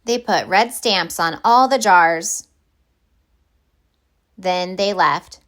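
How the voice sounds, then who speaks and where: A woman reading a children's picture book aloud as a storyteller, with deliberate, expressive phrasing. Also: fairly low pitch.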